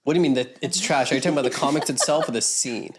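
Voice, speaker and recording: A man co-hosting a casual podcast chat, opinionated and teasing in quick back-and-forth. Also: mid-range voice, crowd noise. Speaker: actor and writer, podcast co-host.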